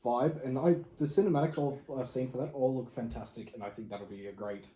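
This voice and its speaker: A man gives his opinions in casual podcast conversation, blunt and full of slang. His voice is of middling pitch. It was captured in an echoing room with faint noise behind it. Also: fairly quick, warm tone. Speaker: podcast co-host. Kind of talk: casual group podcast chat.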